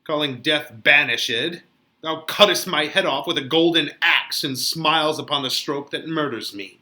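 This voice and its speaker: Man acting frantic, despairing young Romeo, high and bright. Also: moderate pace. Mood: horrified. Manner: melodramatic, anguished, shouting outbursts. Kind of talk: dramatic Shakespeare reading.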